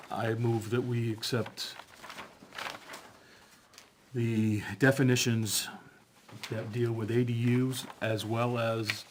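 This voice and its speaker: A man on a town planning board, making formal motions at a public meeting in a slow, measured way. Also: lowish voice, noisy background.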